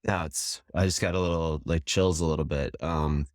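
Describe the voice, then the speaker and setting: A man's voice: a bass voice, steady tone, moderate pace, no room sound, clean studio sound. A man hosting a podcast interview, enthusiastic and casual, with many fillers and restarts. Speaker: podcast host.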